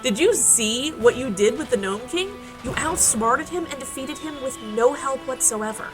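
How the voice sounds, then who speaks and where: A woman playing a spirited, warm-hearted girl adventurer in an audio drama, conversational, wry and earnest. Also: sits high, expressive, normal speed, over music. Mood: earnest, teasing. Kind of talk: naturalistic audio drama acting.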